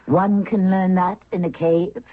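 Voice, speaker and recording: A woman's voice: fairly low pitch, fairly even intonation, a little slow. A woman acting in a 1970s radio drama as a spirited, newly married young queen, wry, impatient and direct. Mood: concerned. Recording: phone-like vintage radio recording with faint music.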